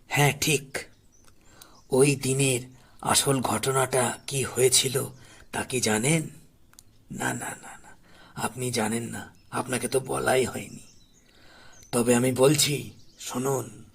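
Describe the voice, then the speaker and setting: A man playing a grave, grieving poor old grandfather in an audio horror story, speaking haltingly and accusingly. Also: medium pitch, steady tone, vocal fry, husky, pitched below the performer's own voice, heavily acted. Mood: grave, taunting. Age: older. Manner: slow, grave, halting delivery with pauses.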